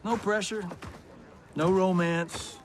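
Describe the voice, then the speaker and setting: An actor voicing a cocky, goofy action star who wisecracks in a video game cutscene. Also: male voice, high-pitched, steady tone, slow pace, slight background noise. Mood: awkward, hopeful.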